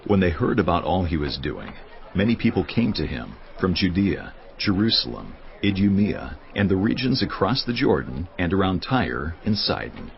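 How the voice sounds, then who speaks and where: A man narrates scripture with solemn, measured storytelling. His low voice is creaky yet moves widely in pitch. Music runs underneath. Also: sing-song, a little hiss or hum. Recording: dull studio audio with music behind.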